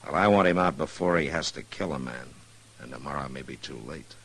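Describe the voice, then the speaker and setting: Very deep-voiced frontier marshal. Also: male voice, a little fast, a bit bright, husky, exaggerated voice. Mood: troubled. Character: steady, concerned frontier marshal in the Old West. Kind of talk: 1950s radio western acting. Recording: noisy old radio drama recording.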